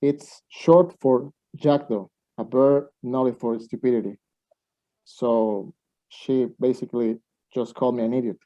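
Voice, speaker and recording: Man acting a baffled student, very dark muffled sound. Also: mid-range voice, fairly even intonation, measured pace, lightly acted. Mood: incredulous. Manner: incredulous, sarcastic. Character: Lucas, baffled, sarcastic young male English student. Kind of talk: amateur radio play acting. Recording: dull, muffled lo-fi microphone.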